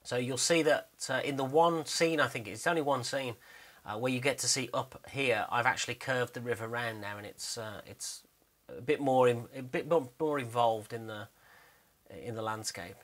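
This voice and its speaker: A man with a very bright, forward voice at an average pitch talks through a screen recording of his animation work. He is casual and unscripted, rambling and hesitant as he explains, in a modest, self-deprecating way. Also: normal speed. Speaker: animator and video narrator.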